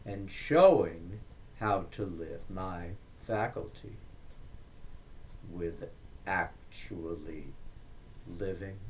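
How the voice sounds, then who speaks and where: Very slow, low-voiced man reciting verse. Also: darkish tone, a little hiss or hum. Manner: deliberate, declamatory, with pauses between short phrases. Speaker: reader of poetry. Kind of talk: dramatic recitation of Victorian verse.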